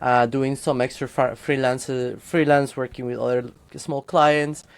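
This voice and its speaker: A man's voice: medium pitch, flat delivery, unhurried, clean tone. Guy in his 30s, rambly and candid, laughs a lot. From Guatemala. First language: Spanish. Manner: candid, rambling, laughs often, frequent restarts. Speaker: guest. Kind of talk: casual interview chat.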